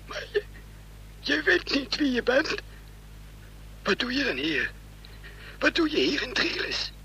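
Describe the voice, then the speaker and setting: An actor playing a frightened traitor in hiding in a radio drama, stammering and incredulous. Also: male voice, extremely high pitch, huge pitch swings, a little slow, sharp tone, breathy edge, full character voice. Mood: shocked, fearful.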